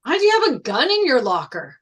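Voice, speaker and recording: Woman playing an animated teenage athlete boy. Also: sits high, brisk, exaggerated voice. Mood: concerned. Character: indignant, challenging high school athlete boy. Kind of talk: table read of a film script.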